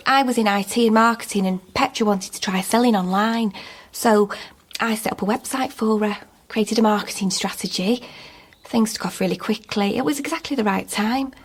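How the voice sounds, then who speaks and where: A woman's voice: mid-range voice, normal speed, breathy edge, lightly acted. An actress playing a courteous, open woman, reminiscing reflectively in a naturalistic radio drama. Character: courteous, open woman recalling her late friend.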